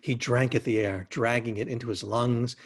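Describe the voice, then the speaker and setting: A man giving a dramatic reading of literary fiction, with measured, expressive and lyrical phrasing. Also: mid-range voice.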